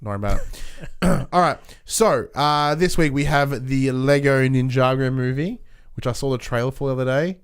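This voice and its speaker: A young Australian man co-hosting a podcast, chatting casually in a halting, rambling way. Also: medium pitch, clean tone. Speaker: podcast co-host. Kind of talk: casual chat.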